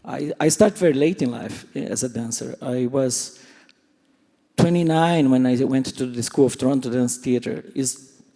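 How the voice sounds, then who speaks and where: A man in his sixties whose first language is Portuguese talks after a performance, halting and searching for words but openly heartfelt. His voice is dark and mellow. A long reverb from a theatre hall hangs on every word. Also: medium pitch. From Brazil. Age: 60s. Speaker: choreographer and dancer. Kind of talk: post-show talkback.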